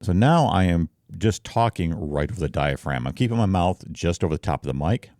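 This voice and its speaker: A man with a deep voice talking casually and informally as a streamer, explaining things as he demonstrates them into a close microphone. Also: animated, brisk, darkish tone, dry recording. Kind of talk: casual explainer talk to camera. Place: treated room at home. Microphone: close foam-covered microphone, slightly offset from mouth.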